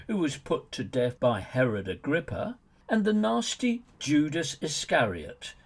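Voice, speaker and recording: A husky-voiced man reads a polemical audiobook at an unhurried pace. His delivery is deliberate and theatrical, with a pitch that swings widely as he leans on words with dry mockery. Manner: sardonic, mocking emphasis. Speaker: author reading own book as narrator. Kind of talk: scripted polemical narration, audiobook.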